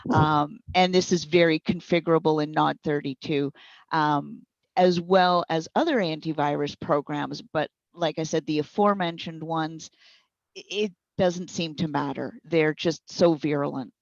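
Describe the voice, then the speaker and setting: Dull-sounding woman explaining things, lowish voice. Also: fairly even intonation, normal speed, no echo. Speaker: speech recognition consultant and trainer being interviewed. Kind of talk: informal explanatory interview answers. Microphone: wireless headset. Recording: dull, band-limited internet call audio.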